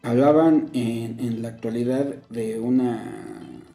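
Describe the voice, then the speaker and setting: Mexican male politician, gravelly and very dark, echoey recording. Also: mid-range voice, steady tone, slow pace, faint music behind. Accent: Mexican Spanish. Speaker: local legislator as guest. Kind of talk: political conversation. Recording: roomy echo.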